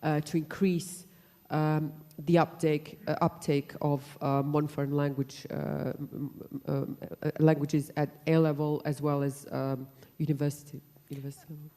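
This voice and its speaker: A woman from Croatia asking a panel a question from the audience, pausing often to find her words. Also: deep voice, flat delivery, dark tone. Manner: hesitant, searching for words. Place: lecture hall. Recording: long hall reverb.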